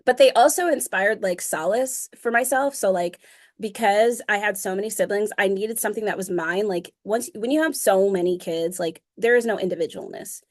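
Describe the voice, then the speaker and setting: A woman's voice: mid-range voice, normal speed. Young woman chatting in an interview, says like and um a lot, laughs easily. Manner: chatty, fillers of um and like, laughs often. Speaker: interview guest, singer. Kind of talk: casual interview chat.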